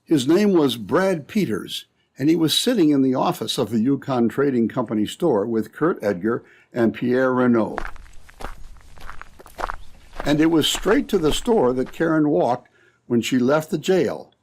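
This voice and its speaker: Casting: male storyteller for a radio serial, husky, with lively inflection. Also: medium pitch, a little slow. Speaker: narrator. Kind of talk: old-time radio scripted narration.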